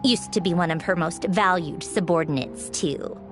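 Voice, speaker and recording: A woman's voice: on the low side, normal speed, close to an everyday voice. A woman voicing a poised, gracious noblewoman official in a video game, speaking in a knowing, storytelling manner. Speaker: game character. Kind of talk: game voice acting. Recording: faint game music behind.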